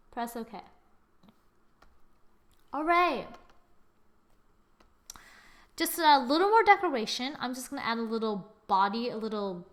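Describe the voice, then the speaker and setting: A young woman with a slightly high voice talks through a design tutorial at her computer. She narrates every click and step in a chatty, casual way, speaking into a desktop mic. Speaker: tutorial host. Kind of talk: screen-recorded software tutorial.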